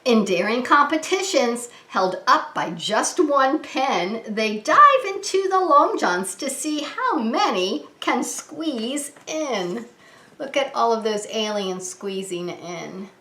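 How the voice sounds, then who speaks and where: A woman preschool teacher reading a children's story aloud in an animated, sing-song manner, talking to small children. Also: fairly high pitch, slow pace. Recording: echoey room.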